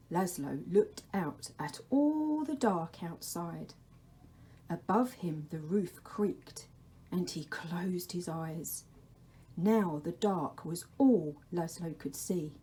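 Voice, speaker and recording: Female primary teacher for story time. Mellow, relaxed tone, very measured and gentle read-aloud. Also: fairly low pitch.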